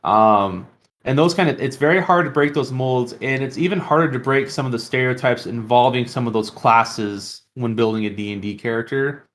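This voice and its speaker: A man's voice: mid-range voice, normal speed, a bit bright. A man hosting a podcast, chatting casually and enthusiastically about tabletop games. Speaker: podcast host.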